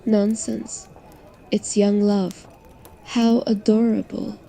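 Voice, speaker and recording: A woman's voice: mid-range voice, flat delivery, slow, creaky, dark tone, rough recording. A woman playing a sentimental wedding guest at a royal feast in an audio story. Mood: gushing.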